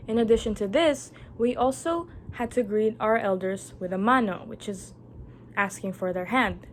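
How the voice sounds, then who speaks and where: A young woman from the Philippines, a Tagalog speaker, giving an earnest, measured prepared speech. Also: medium pitch, a little hiss or hum.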